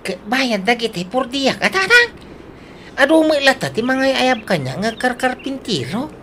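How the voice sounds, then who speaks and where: A woman's voice: high voice, big pitch swings, moderate pace, fairly bright tone, slight background noise. A woman voicing an earnest, good-hearted, hardworking young grown son in a comic Ilocano radio drama. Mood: earnest, cheerful.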